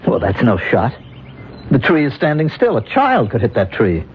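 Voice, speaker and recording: An earnest, wondering hunter, lively delivery. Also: male voice, medium pitch, moderate pace. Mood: proud, eager. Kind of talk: old-time radio drama acting. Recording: muffled, faintly noisy old radio recording.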